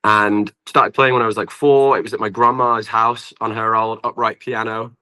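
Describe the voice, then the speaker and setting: A man's voice: fairly low pitch, little pitch movement, normal speed, bright, forceful tone, clean tone, rough recording. A young British man chatting casually as an interview guest, rambling informally and peppering his talk with "like". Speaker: guest singer-songwriter. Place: at home in an apartment.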